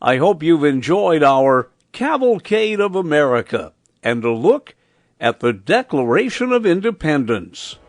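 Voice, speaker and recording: A male host delivers a scripted show outro. He talks very slowly with lively swings in pitch, while music plays clearly behind him. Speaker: podcast host.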